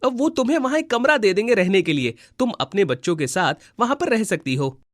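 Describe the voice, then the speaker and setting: Man playing a helpful brother, fairly high voice. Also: sing-song, fairly quick, no echo, clean studio sound. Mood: sympathetic. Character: helpful, sympathetic brother offering work.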